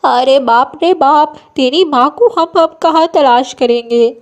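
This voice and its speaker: A woman's voice: sits high, measured pace. A woman playing an affectionate, fussing old village grandmother in a moral story cartoon, doting and given to exclaiming. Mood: fretful.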